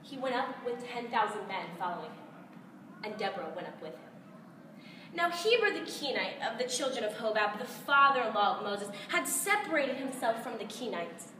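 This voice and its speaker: A woman's voice: fairly high pitch, big pitch swings, moderate pace. A woman with an American accent giving a dramatized, emphatic recitation of scripture in a storytelling style. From United States. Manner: theatrical, emphatic, storytelling delivery. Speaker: competition contestant reciting scripture. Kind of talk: dramatized recitation of Bible narrative. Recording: roomy, distant mic, faint noise.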